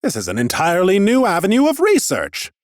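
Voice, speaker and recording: A man's voice: sits high, wildly swooping pitch, a little fast, dry recording, clean studio sound, pitched above the performer's own voice. A man playing an ancient, scholarly lich who muses in a precise, pompous and clinically curious way, as in a first-person fantasy audiobook. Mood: excited.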